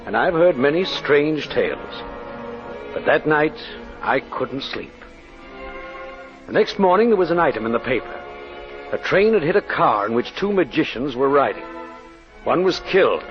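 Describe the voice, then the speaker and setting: An actor playing an earnest, seasoned criminal lawyer, telling a strange case in dramatic 1940s radio style. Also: male voice, mid-range voice, natural voice. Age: middle-aged. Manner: dramatic, measured storytelling. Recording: muffled vintage radio recording.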